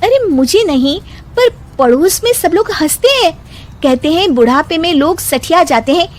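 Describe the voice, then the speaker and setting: A woman voicing a feisty young daughter-in-law in an animated story, pointed and assertive. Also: very high-pitched, moderate pace, voice pushed up. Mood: complaining. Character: feisty young daughter-in-law who answers back in verse. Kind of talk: animated story character acting.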